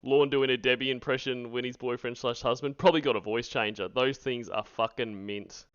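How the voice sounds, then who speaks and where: A man's voice: medium pitch, fairly even intonation, fairly quick, a bit bright, clear, resonant tone, dry recording. A man hosting a livestream, giving casual, sarcastic commentary in a mocking, profane way. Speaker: livestream host reacting to recorded calls. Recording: dull lo-fi stream audio.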